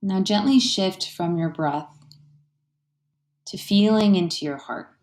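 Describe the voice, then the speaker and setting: A woman leading a guided meditation in a gentle, soothing, unhurried way, leaving pauses between phrases. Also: medium pitch. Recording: roomy, echoey sound.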